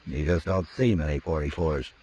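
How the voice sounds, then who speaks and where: A deep-voiced steady frontier marshal. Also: male voice, breathy edge. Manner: calm, measured, deliberate. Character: steady, level-headed frontier marshal, grown man. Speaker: lawman lead and narrator. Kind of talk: 1950s radio drama acting. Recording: muffled old radio recording with faint hiss.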